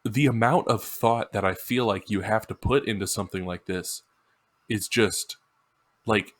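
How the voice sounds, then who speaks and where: A man's voice: fairly low pitch, unhurried. A man co-hosting a podcast, discussing music in an informal, emphatic, casual way. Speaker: podcast co-host.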